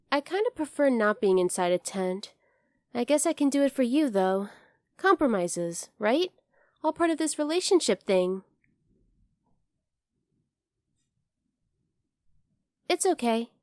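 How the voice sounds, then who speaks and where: Woman voicing a high-pitched, playful young bunny girl. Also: expressive, moderate pace, darkish tone, dry recording, clean studio sound, close to an everyday voice. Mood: eager, softly affectionate. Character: teasing, outdoorsy young bunny girl, curious about humans. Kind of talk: ASMR roleplay addressed to the listener.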